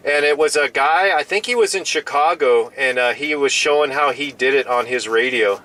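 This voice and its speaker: A man's voice: mid-range voice, moderate pace, noisy background. A man chatting casually as a radio hobbyist, often restarting and repeating his phrases.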